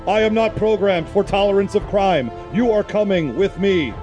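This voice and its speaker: A man voicing a stern robotic police cyborg with a flat, commanding, formal delivery. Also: sits high, normal speed, soft background music.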